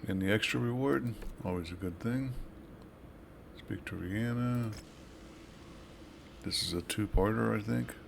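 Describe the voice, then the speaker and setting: A man's voice: lowish voice, measured pace, pauses often. A man giving casual, offhand commentary as he plays a video game, with game noise behind him. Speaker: gaming video host. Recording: clear background noise from game.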